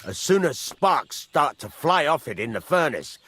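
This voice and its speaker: An actor playing a gruff middle-aged village blacksmith in a video game, husky, blunt and rustic, giving plain instruction. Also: male voice, a little high, sing-song, normal speed, pushed and bright, slight background noise. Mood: patient, instructive. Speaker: master blacksmith. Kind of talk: video game character acting.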